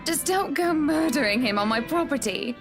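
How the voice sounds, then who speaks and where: An actress playing a haughty, teasing married society hostess in a visual novel, speaking in an arch, genteel manner. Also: female voice, high voice, lively intonation, normal speed. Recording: music behind the voice.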